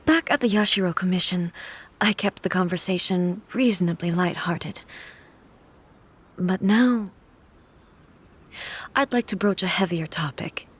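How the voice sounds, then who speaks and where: An actress playing a solemn, regal warrior deity in a video game, grieving yet composed, with formal, measured phrasing and a soft, breathy voice. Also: female voice, mid-range voice. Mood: reflective. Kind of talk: video game story dialogue.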